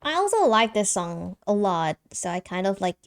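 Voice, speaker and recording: A woman's voice: mid-range voice, very animated, normal speed. A young woman streamer with a light soprano voice, chatting casually and giggling, with lots of fillers and restarts. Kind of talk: casual live-stream chat.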